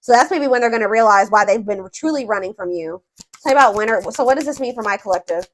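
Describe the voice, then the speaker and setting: A woman's voice: fairly high pitch, quick pace. A woman reading tarot for viewers in a casual, informal way, thinking aloud as she goes, with emphatic points. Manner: informal, conversational, searching for words aloud, emphatic. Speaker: tarot reader, host.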